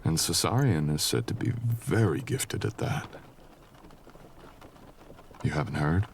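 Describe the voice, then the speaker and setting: A man playing a mysterious, courteous wandering traveler in a soft-spoken romantic fantasy audio roleplay, with old-fashioned, teasing phrasing. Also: low voice, animated, measured pace, gravelly, a little hiss or hum, heavily acted. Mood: teasing, friendly.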